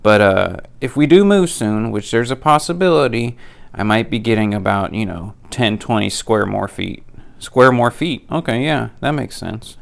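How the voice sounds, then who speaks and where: A man talks through his nose, and a cold makes him sound stuffier still. His voice is gravelly but smooth, and he talks chatty and straight about which tool deals are worth it. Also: lowish voice, expressive, moderate pace. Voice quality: nasal. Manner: chatty, practical, plain-spoken. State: has a cold. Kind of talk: casual product rundown.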